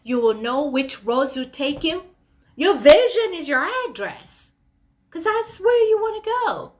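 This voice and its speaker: A woman's voice: sits high, very animated, unhurried. A woman speaks as a motivational coach. She addresses the listener directly and conversationally. She leans on key words and poses rhetorical questions to drive her message. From Kenya.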